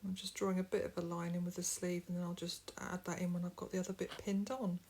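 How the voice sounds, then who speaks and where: A woman's voice: on the low side, level tone, quick pace, darkish tone, a little hiss or hum. A middle-aged woman, a bit sniffly from hay fever, chatting her way step by step through a home sewing tutorial, recorded on a camera mic. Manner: friendly, rambling, explains step by step. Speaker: sewing vlogger.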